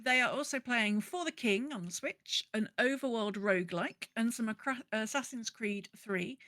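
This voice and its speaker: A woman's voice: on the high side, normal speed, a bit bright, no echo. A woman co-hosting a podcast, casually chatting and reading out updates. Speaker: podcast co-host.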